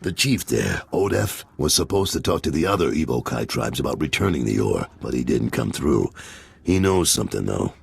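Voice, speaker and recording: Game role: gruff middle-aged crime lieutenant. Rock-bottom pitch, heavy gravel, businesslike. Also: male voice, very animated, normal speed, no room sound, full character voice. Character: gruff, world-weary male gangster boss, middle-aged father. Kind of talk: video game voice acting.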